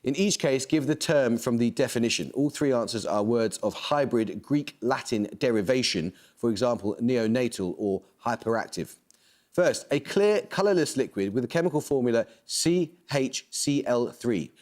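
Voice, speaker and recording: Crisp, measured quiz-show host man. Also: medium pitch. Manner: crisp, measured, lively intonation. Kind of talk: scripted quiz presenting. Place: television studio.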